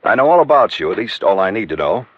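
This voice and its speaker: An actor playing a serious, assertive young American civic official in a 1950s radio drama, earnest and direct. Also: male voice, on the low side, very animated, fast, dry recording, lo-fi sound. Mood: businesslike, assertive.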